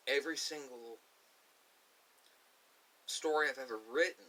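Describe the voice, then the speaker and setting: An American man giving a rambling monologue to camera as a video host, often pausing mid-sentence in a halting way. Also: medium pitch, pushed and bright. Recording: hissy, distant microphone.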